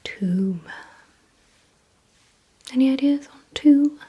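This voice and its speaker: A woman whispering in an ASMR roleplay, giving gentle instructions in a breathy, mostly voiceless hush with deliberate pauses. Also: high voice, expressive. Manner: slow, deliberate, hushed delivery with short pauses. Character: calm, softly commanding female vampire examiner. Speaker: ASMR performer.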